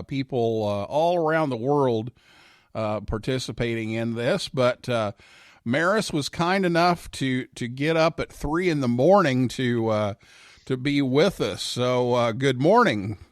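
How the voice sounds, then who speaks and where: Slow-talking American man hosting a show. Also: medium pitch, animated, dry recording, studio quality. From United States. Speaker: radio show host. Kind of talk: conversational talk show hosting.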